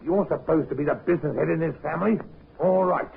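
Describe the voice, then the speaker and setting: Through a muffled, slightly hissy old radio recording comes a suspicious innkeeper. His fairly high voice has a gravelly edge. He is blunt, colloquial and needling, in vintage radio drama style. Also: fast, exaggerated voice. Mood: threatening. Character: grumbling, suspicious English innkeeper husband. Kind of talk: 1950s radio drama acting.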